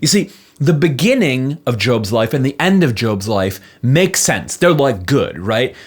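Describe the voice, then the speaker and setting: Male explainer, mid-pitched, very lively intonation, informal and conversational, fond of rhetorical questions. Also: a little slow, slightly rough. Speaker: presenter of a Bible commentary channel. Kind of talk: casual explanatory talk.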